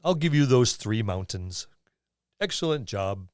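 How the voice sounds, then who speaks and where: Man playing an unhurried ancient dragon, gracious in defeat. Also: medium pitch, big pitch swings, no room sound, clean studio sound. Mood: amused, conceding. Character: ancient scheming dragon wagering territory with a friendly rival.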